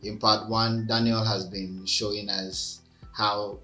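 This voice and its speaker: A male host with a fairly low voice who mostly gives short encouraging reactions to his guest. Faint music plays quietly underneath him. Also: flat delivery, takes it slowly. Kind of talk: interview hosting.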